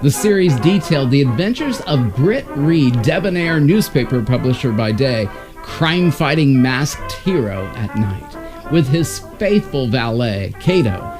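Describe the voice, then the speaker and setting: A man's voice: mid-range voice, very animated, unhurried. A man presenting old-time radio shows in a scripted announcer's narration. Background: music.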